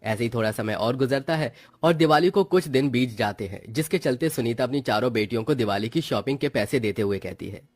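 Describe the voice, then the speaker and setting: Mid-pitched male story narrator. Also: a little fast, hardly any pauses, no echo.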